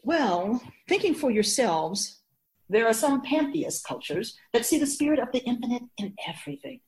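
A woman's voice: fairly high pitch, big pitch swings, normal speed, a little mellow. A woman playing an assured immortal keeper of souls in a radio drama, explaining things in a composed, formal, didactic way. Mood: instructive. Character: feminine-voiced immortal spirit keeper of souls, assured and instructive.

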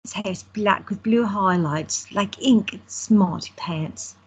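A woman playing an excitable young woman who blurts things out eagerly. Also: mid-range voice, takes it slowly, slightly rough, rough recording. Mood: excited. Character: excitable young woman who adores her twin brother.

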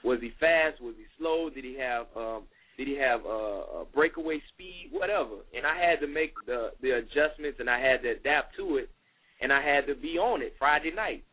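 A man in his thirties, a youth minister guest, talking in a relaxed, conversational way with many fillers on a radio call. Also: mid-range voice, normal speed, breathy edge. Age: 30s. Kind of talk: casual radio interview talk. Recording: phone-line radio call.

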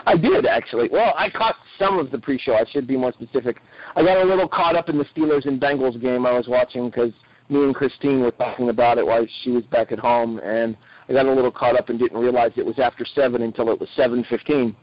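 A man with a slightly rough voice, co-hosting a wrestling podcast in casual review chat. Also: medium pitch, fast, no echo, muffled recording.

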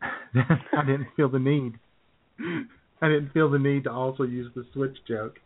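A man co-hosting a casual comedy podcast, wry and self-described cynical, often clearing his throat. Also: mid-range voice, steady tone, measured pace. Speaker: podcast co-host. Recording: tinny phone-like audio.

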